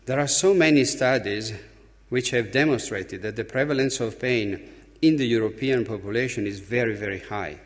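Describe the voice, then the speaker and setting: A man from Italy with an Italian accent gives a conference speech as an opening speaker, emphatically, with halting, deliberate pauses. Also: medium pitch. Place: large conference hall. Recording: reverberant hall, long echo.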